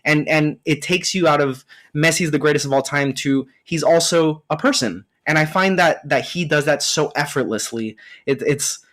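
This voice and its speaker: A man's voice: a little high, normal speed, few pauses, fairly bright tone. A man hosting a podcast, speaking reflectively with many small restarts. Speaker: podcast host. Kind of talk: casual interview chat.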